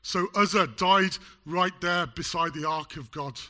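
A man's voice: fairly high pitch, a little slow, pushed and bright. A man preaching a sermon in a church, chatty and jokey, still getting over a chest cold. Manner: chatty, jokey, loose with fillers. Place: church hall.

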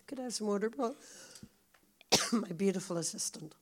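A woman with a croaky, hoarse voice, a visiting speaker telling a story to a congregation in a chatty, confiding way. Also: medium pitch, animated, moderate pace. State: hoarse, coughing.

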